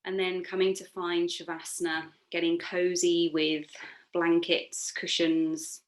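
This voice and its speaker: A woman yoga instructor leading a guided relaxation meditation in a soothing, gently encouraging, unforced manner. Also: fairly low pitch, little pitch movement, unhurried, low-quality recording.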